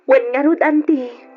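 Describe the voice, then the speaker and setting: A woman's voice: high voice, narrow, tinny audio, exaggerated voice. An actress playing an affectionate young woman longing to marry in an old Ilocano radio drama, speaking with an Ilocano accent. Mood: cheerful.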